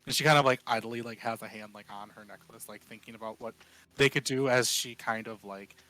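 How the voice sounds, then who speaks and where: Male game player, medium pitch, casual and tentative, working through his character's moves aloud. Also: fairly even intonation, a little fast, bright, forceful tone, rough recording. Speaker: player in a tabletop role-playing game. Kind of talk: casual game talk, describing a character's actions.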